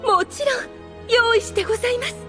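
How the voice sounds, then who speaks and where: A woman's voice: extremely high pitch, fairly quick, over music. A woman playing a devoted, scheming royal retainer with refined, formal speech in an anime audio drama. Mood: eager, persuasive.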